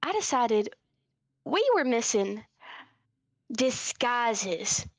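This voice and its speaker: A woman plays a sly clawed adventurer in tabletop roleplay. Her voice sits on the high side and sounds very dry and close. She is chatty and coy, stumbling evasively around what she means. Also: very animated, extremely slow, no echo, heavily acted. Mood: coy, halting.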